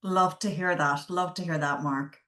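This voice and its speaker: A woman's voice: fairly low pitch, steady tone, unhurried, a bit bright, roomy sound. A middle-aged Irish woman telling stories in a chatty way in an interview, with frequent ums. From west of Ireland. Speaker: guest, growth executive. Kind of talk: interview conversation.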